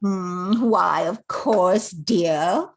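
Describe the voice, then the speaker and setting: An older woman playing a genteel, meddling society mother in a radio comedy play, coaxing with theatrical, drawn-out phrases. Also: lowish voice, vocal fry, exaggerated voice. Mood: coaxing, insistent.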